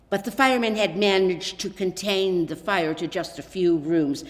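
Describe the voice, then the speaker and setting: Low-voiced woman storyteller in a reverberant hall. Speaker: author reading own story aloud. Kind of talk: scripted children's story narration. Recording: echoey hall with long reverb.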